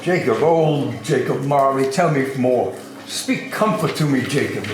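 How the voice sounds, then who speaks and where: A man's voice: medium pitch, a little slow, natural voice. A man in an amateur dramatic reading playing a frightened, pleading old miser, speaking in a theatrical, questioning way. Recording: very echoey room, clear background noise.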